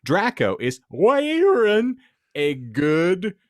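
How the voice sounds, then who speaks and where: A man performs a theatrical comic reading as a podcast host, drawing words out very slowly. His pitch leaps all over the place, and the sound is close and dry. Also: huge pitch swings, no room sound. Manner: theatrical delivery, often breaking into laughter. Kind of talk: comic dramatic reading of misspelled fan fiction.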